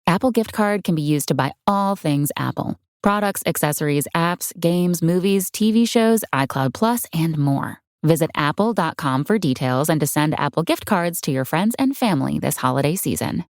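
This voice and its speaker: A woman's voice: low-pitched, animated, normal speed, few pauses, dry recording, clean studio sound. A woman reading scripted advertising copy as an announcer. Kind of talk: scripted ad read.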